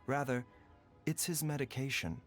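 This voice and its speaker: An actor playing a calm, grave doctor in a video game, speaking in a measured, formal and articulate way. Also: male voice, mid-range voice, no room sound, lightly acted. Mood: grave, explaining. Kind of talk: video game dramatic dialogue. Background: game music.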